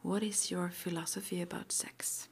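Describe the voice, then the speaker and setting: A woman playing a calm, gentle witch school interviewer in an ASMR roleplay, whispering softly and reassuringly without hurry. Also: lowish voice, creaky, husky. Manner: soft, unhurried, reassuring, with murmured acknowledgements.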